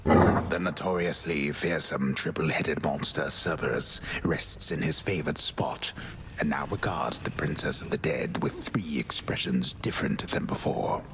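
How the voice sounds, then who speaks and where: A man playing a grave, formal older storyteller who narrates a myth in a measured, gravelly voice. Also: rumbling low, background noise. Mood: solemn. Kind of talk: scripted narration. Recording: music behind the voice.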